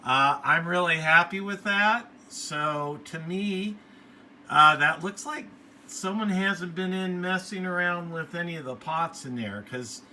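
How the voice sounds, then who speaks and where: A man hosting a hobbyist video, talking casually through a product review in a plainspoken, halting, matter-of-fact way. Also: high-pitched, pushed and bright. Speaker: radio hobbyist video host. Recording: faint background noise.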